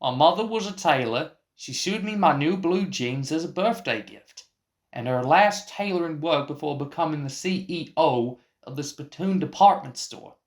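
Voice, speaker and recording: A man voicing a folksy, cocky young Texan with an excitable manner and a Texan accent, in a radio play. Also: on the high side, normal speed. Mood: proud, earnest.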